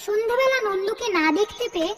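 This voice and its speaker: A woman's voice: extremely high pitch, quick pace, big-room sound, over a noisy crowd. A woman narrator reading a scripted fairy tale for a children's cartoon.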